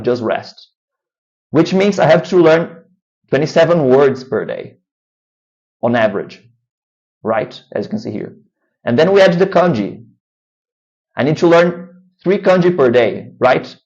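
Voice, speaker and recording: A man's voice: a little high, a little mellow, muffled recording. A man with a Brazilian Portuguese accent, a language-learning vlogger talking casually to camera, rambling and often adding "right?". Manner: rambling, frequent "right?" tag questions.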